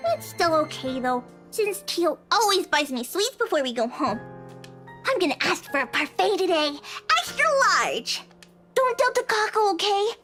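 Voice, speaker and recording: Very high-pitched cheerful little girl. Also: sing-song, normal speed, sharp tone, full character voice. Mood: chatty, innocent. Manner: bubbly, chatty, childlike. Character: cheerful chatty sweet little schoolgirl. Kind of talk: visual novel game voice acting. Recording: game music behind the voice.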